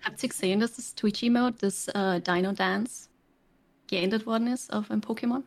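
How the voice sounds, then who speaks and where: A woman's voice: medium pitch, fairly even intonation, normal speed. Chatty Austrian woman streamer. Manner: chatty and explanatory, with frequent fillers. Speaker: guest streamer. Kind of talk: casual podcast chat.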